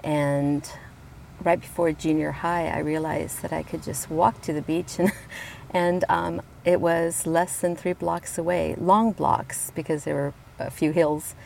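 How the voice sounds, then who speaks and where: A woman in her fifties from Colombia, talking about her life as a guest, reflective and warm, with frequent ums and soft laughs. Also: low voice, flat delivery, measured pace, gravelly, a little hiss or hum. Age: 50s. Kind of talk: casual conversation. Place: outdoors at a beach.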